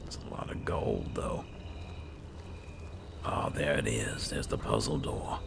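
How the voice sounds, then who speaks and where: A man acts out an alchemist adventurer with an extremely deep, heavily creaky voice. He muses like a patient mentor in a video game roleplay. His pitch swings widely as he takes his time. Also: sing-song, background noise, heavily acted. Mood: calm, instructive. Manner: unhurried, musing, hesitant asides. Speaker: gaming roleplayer. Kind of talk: improvised in-character roleplay narration over gameplay.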